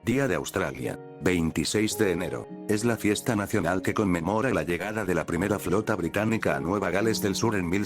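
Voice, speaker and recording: A man reading scripted documentary-style narration for an explainer video in a measured, formal, expository manner. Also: sits low. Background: background music.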